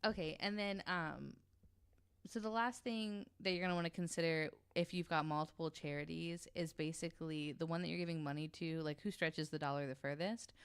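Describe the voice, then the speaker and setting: A woman's voice: low-pitched, fairly even intonation, fairly quick, smooth. A woman explaining things casually on a podcast, informal and frank in her delivery. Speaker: podcast panelist.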